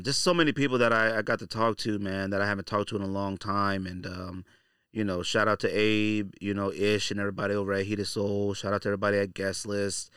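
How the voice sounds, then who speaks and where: A man's voice: lowish voice, a bit bright, clear, resonant tone, no echo, clean studio sound. An American man hosting a podcast solo, talking casually in a slangy, conversational, emphatic way. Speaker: sneaker podcast host.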